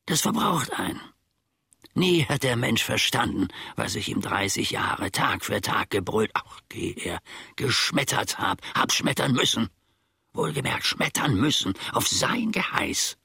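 Husky, gravelly old manservant, wildly varied delivery. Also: male voice, mid-range voice, animated, a little slow, sharp tone, no room sound, studio quality, full character voice. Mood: aggrieved, exasperated. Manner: mannered, dropping into whispers, archaic stilted diction. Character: aggrieved, brooding old manservant scheming against his master. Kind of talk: theatrical German radio play monologue.

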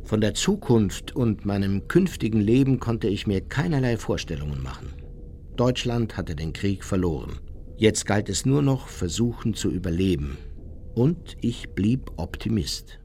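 Low-voiced, unrepentant Nazi war criminal. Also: male voice, expressive, mellow, slight background noise. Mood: sober, resolved. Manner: measured, matter-of-fact. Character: unrepentant male Nazi war criminal recounting his life. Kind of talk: radio play first-person memoir narration.

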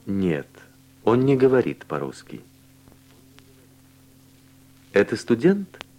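A man reading language-course dialogues and word drills with slow, deliberate, carefully enunciated speech. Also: lowish voice, wildly swooping pitch, mellow. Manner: clear, deliberate articulation in short answers.

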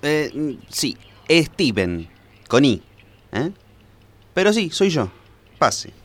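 Actor as an animated, self-important Argentine amateur detective. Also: male voice, a little high, slow. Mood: deadpan. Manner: deadpan, theatrical, pedantic. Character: self-important, naive amateur detective living with his mother. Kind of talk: comic radio play narration and dialogue.

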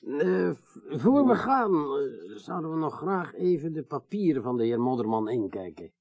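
Gravelly, stern investigator. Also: male voice, on the high side, big pitch swings, moderate pace, a little mellow, no room sound. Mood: probing. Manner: formal, terse, probing. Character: stern, persistent male investigator. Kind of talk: radio drama dialogue.

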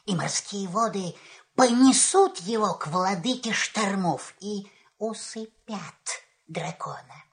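An actor playing a sly, ugly little male sorcerer in a fairy-tale audio play, with theatrical, drawn-out incanting. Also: mid-range voice, fairly bright tone, exaggerated voice. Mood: sly, scheming.

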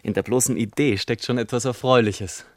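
Guy acting a whimsical gentleman storyteller in a radio play, mid-pitched, mock-solemn, very dry recording. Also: fairly even intonation, a little fast, dry recording, lightly acted. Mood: whimsical, animated. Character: wry, digressive gentleman narrating his own life. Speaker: narrator. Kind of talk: literary radio play narration.

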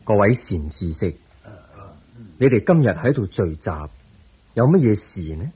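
Benevolent holy teacher: low, mellow, stately, radio drama with slight background noise. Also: male voice, big pitch swings, measured pace. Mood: serene.

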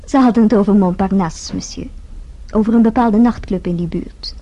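A woman's voice: medium pitch, normal speed, mellow, highs cut off, close to an everyday voice. An actress playing a deferential, slightly sly female hotel employee in a radio play, speaking politely and carefully.

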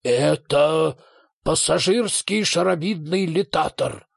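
A man's voice: a little high, expressive, slow pace, gravelly, husky, no echo, studio quality, heavily acted. A man voicing an eccentric, pedantic stegosaurus professor in a storybook reading, exclaiming and drawing out his words. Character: eccentric huge nimble stegosaurus professor, pompous inventor.

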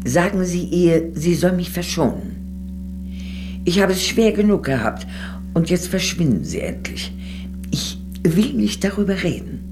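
An actress playing a proud, guarded old former communist in a radio drama, curt and defensive. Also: female voice, on the low side, very animated, unhurried, music in the background. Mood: bitter, dismissive. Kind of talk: German radio drama acting.

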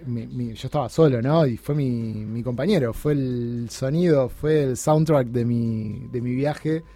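Hombre uruguayo de voz muy oscura y rasposa. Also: male voice, mid-range voice, warm tone, soft background music. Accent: Uruguayan Spanish. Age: 30s. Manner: halting, many restarts and fillers. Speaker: guest. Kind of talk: casual interview chat. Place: radio studio.

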